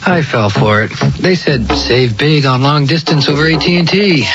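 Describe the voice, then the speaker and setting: A man's voice: a little high, moderate pace. A man doing a conversational radio ad testimonial in a wry, griping, colloquial way. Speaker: customer in a radio advertisement. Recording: dull lo-fi broadcast with music. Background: music.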